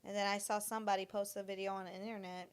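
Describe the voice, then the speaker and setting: A young woman whose first language was Portuguese, chatting on a casual podcast. Her voice sits at an ordinary middle pitch, and she tells stories bluntly, swearing freely and getting animated as she goes. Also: racing, clear, resonant tone. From born in Florida, raised partly in Brazil. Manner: blunt, profane, animated storytelling. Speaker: podcast co-host. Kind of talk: casual chat.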